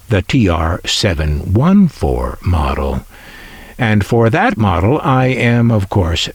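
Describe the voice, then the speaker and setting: An older American man narrating a scripted collector's video in a wry, measured, self-deprecating manner. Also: on the low side, no room sound. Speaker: host of a vintage radio collecting video.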